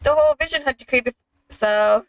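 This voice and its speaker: A woman's voice: high voice, fairly quick, rough recording. A woman chatting casually as she plays a video game on stream. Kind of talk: casual gameplay chat.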